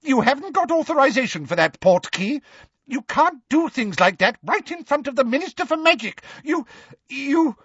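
A man's voice: very high voice, big pitch swings, normal speed, a bit bright, no room sound, dull audio, pitched above the performer's own voice, full character voice. A man voicing a flustered older government minister in an audiobook, stammering, blustering and gibbering with panic. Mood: indignant, faltering.

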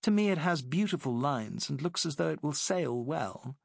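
A man voicing a poised British woman working as a secret agent, speaking crisply and persuasively. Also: fairly high pitch, animated, moderate pace, dry recording, clean studio sound, pitched above the performer's own voice.

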